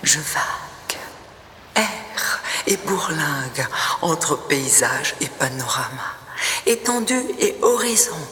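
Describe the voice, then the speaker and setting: A woman poet reciting her own poem expressively, in measured phrases with breathy, hushed endings. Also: low-pitched, lively intonation, noisy background. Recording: long reverb, noticeable background ambience.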